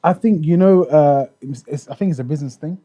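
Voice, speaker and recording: A man's voice: a little high, a little fast, warm tone, no room sound, highs cut off. A man raised in the UK, talking casually as a podcast guest about touring in Japan, with many restarts and fillers. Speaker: guest, Japan tour organizer.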